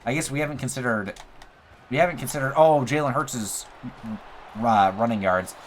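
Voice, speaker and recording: A young man giving casual play-by-play commentary over a football video game, excitable, with his voice going a little hoarse. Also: medium pitch, normal speed, slight background noise. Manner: excitable, talks through plays, says bro and like. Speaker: gaming YouTuber. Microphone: USB desk mic.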